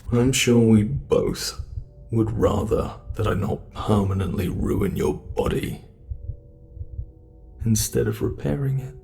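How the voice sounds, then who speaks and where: A man playing a sinister, sadistic monster in a horror ASMR roleplay, gloating and musing theatrically with a gravelly creak. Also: on the low side, darkish tone, soft background music. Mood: menacing. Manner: slow, menacing, gloating, theatrical musing with drawn-out words. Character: sinister male monster, sadistic flesh-sculpting predator toying with prey.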